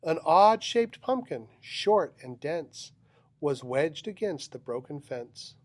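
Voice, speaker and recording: A man with a slightly high voice reads a children's storybook aloud. He takes his time, giving the rhyming lines a dramatic, rhythmic delivery. The recording is close and dry. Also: very animated, takes it slowly, a little mellow, no echo. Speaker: storybook reader. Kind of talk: expressive children's picture book read-aloud.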